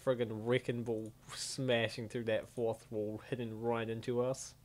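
A man hosting a comic dub, giving casual, excitable, exclamatory reaction commentary. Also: mid-range voice, unhurried. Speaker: comic dub host and narrator.

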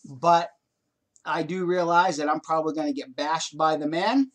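A man's voice: on the high side, moderate pace. An older man talking earnestly and directly to listeners in a casual home video chat, recorded on a webcam. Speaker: co-host. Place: home room, indoors. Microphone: webcam video call framing.

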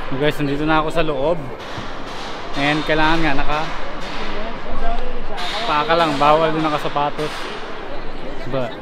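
A man's voice: a little high, flat delivery, normal speed, pauses often, noisy background. A man in his thirties with a Filipino accent, chatting casually to viewers on a handheld travel vlog. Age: 30s. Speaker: travel vlogger. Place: shops, streets and a mosque in Istanbul. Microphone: phone or action camera at arm's length. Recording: background music behind handheld vlog audio. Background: music, some street sound.

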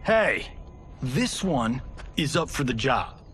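An actor playing a cocky, wisecracking movie star in a video game cutscene, sarcastic and teasing. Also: male voice, mid-range voice, very animated, slow pace, soft background music. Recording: game audio with loud score.

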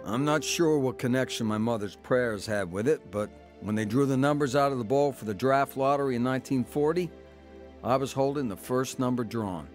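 Male actor as radio drama narrator, grown son, plain-spoken, matter-of-fact, medium pitch, musical backing. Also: normal speed, music in the background, lightly acted. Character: adult son narrating his crooked youth and war years. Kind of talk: scripted first-person radio drama narration.

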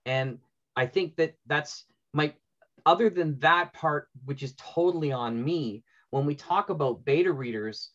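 A man's voice: a little high, measured pace, a bit bright. A middle-aged man moderating an online panel, speaking conversationally over a video call. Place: indoor room, video call. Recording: dull, lo-fi video-call audio.

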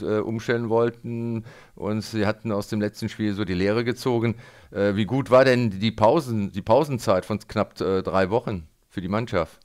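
Clear-voiced man, sports radio interviewer. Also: lowish voice, darkish tone. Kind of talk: post-match interview questions.

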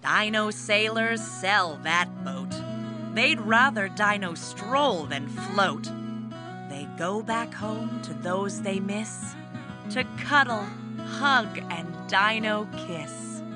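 A woman reading a rhyming children's picture book aloud in an expressive, measured manner. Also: mid-range voice, loud music behind the voice. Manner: expressive, measured rhyming delivery with acted exclamations. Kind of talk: read-aloud children's story narration.

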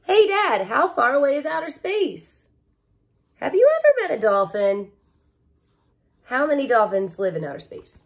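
A woman voicing an excitable, curious little girl from a picture book, eagerly exclaiming and asking questions. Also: sits high, unhurried, pauses often, voice pushed up, full character voice.

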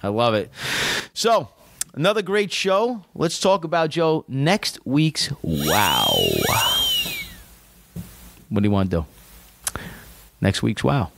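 A man with a New Jersey accent co-hosting a casual podcast, talking in a lively, jokey, emphatic way. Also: on the high side, slow pace, long pauses, heavy background noise. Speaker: podcast co-host.

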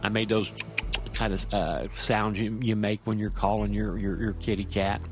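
Actor as halting, streetwise man telling horror. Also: on the low side, steady tone, faint music behind, lightly acted. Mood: confiding. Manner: halting, colloquial, with tag questions like "yeah?". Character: streetwise, nervy man recounting a creepy encounter. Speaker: storyteller in an audio fiction podcast. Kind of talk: first-person horror monologue performed as casual talk.

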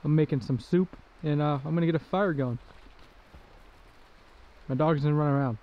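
A man's voice: on the high side, moderate pace, muffled recording. A man chatting casually for his camping vlog at an outdoor forest campsite, rambling with lots of "uh" and "like". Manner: laid-back, rambling with many "uh" and "like" fillers. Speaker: overland camping vlogger.

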